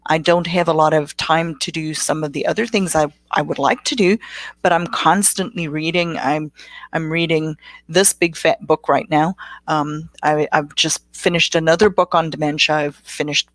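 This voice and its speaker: A woman's voice: sits low, fairly even intonation, normal speed, no room sound. Motivational middle-aged woman guest. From South Africa. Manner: motivational, reflective. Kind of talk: casual interview answers.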